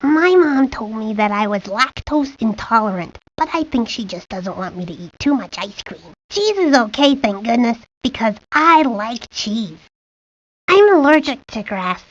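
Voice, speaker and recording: A woman's voice: fairly high pitch, expressive, unhurried, dry recording. A woman voicing a precocious, nerdy eight-year-old boy, pedantic and wordy, for a cartoon video game. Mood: precocious, matter-of-fact. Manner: pedantic, wordy, self-assured. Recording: dull lo-fi 1990s game audio.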